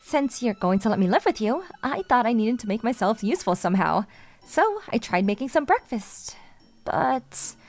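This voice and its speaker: A woman's voice: on the high side, big pitch swings, normal speed. A woman voicing a sweet, polite young female idol with an earnest, coaxing way of talking, in a manga dub. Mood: cheerful. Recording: music under the voice.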